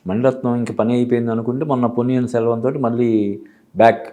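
A man giving explanatory commentary as an interview guest, halting and repeating phrases as he goes. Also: mid-range voice, flat delivery, mellow. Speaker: film industry analyst, studio guest. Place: TV studio.

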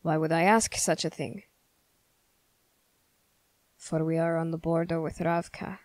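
Girl doing a stern suspicious young soldier guy for asmr roleplay, lowish voice, really slow and formal, super dry close sound. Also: flat delivery, darkish tone, no echo. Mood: guarded, distrustful. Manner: measured, formal, interrogating. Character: stern, suspicious young male Fjerdan soldier, witch hunter. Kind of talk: ASMR character roleplay, slow scripted monologue.

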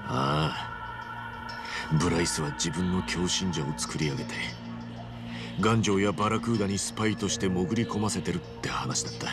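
A big-hearted tough man in his forties in a game drama. His voice is very low yet animated, blunt and respectful, pausing for emphasis over background music. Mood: pondering. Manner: rough but respectful, deliberate, emphatic. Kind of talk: dramatic game cutscene acting.

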